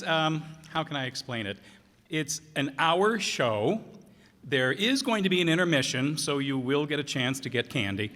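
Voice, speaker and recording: Halting, bright-voiced man in an echoey hall. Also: fairly high pitch. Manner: halting, throat-clearing. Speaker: director of community radio plays. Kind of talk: informal, unscripted announcement to an audience. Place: town hall stage before an audience. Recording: echoey hall, long reverb.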